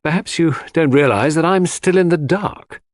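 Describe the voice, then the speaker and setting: A man's voice: fairly high pitch, moderate pace, no room sound, studio quality, voice pushed up, lightly acted. A man voicing a detective's indignant male friend in an audiobook, huffy and pompous. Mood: cold, irritated.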